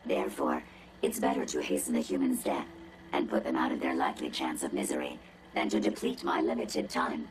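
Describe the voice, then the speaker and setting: Very low-pitched, haughty robot woman. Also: lively intonation, fairly quick, creaky, heavily acted, poor audio. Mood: condescending. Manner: sardonic, pompous, precise, wordy. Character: haughty, condescending female robot with murderous cold logic. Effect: robotic voice filter. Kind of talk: video game cutscene acting.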